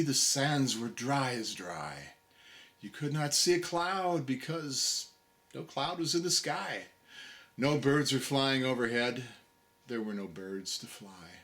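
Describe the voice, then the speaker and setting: Guy reciting a funny poem like a storybook, super dramatic, slow and really swoopy. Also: mid-range voice, fairly bright tone. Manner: dramatic, deliberate, storybook delivery. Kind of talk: theatrical recitation of a comic narrative poem.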